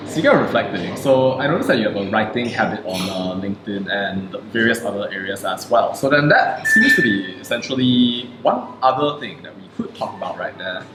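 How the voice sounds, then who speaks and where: A man's voice: on the low side, expressive, brisk, hardly any pauses. A man hosting an interview, asking long, meandering questions packed with "like" and "so then". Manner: rambling, hedging questions full of "like". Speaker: interview host. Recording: echoey room with clear background noise.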